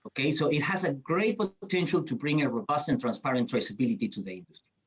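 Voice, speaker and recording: A man's voice: mid-range voice, fast talker, slightly rough. A man from Peru speaking on a conference panel, hesitant, with many fillers and restarts. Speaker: panelist, certification program CEO.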